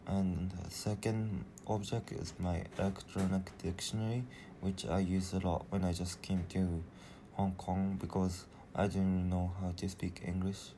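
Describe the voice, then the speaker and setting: Low, flat-voiced teenage boy presenting. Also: measured pace, background noise. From Japan. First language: Japanese. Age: teens. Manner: hesitant, many fillers and restarts. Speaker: student presenter. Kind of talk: school presentation.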